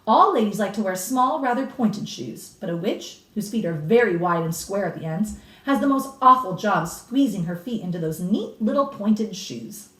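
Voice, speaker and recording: A woman's voice: medium pitch, expressive, moderate pace, lightly acted. A woman reading a storybook as a grave, deadly serious elderly grandmother who stretches key words, in a roomy, distant recording. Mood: grave, emphatic. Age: older. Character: grave elderly grandmother solemnly warning about witches. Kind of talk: dramatic children's storybook reading. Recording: roomy echo, distant mic.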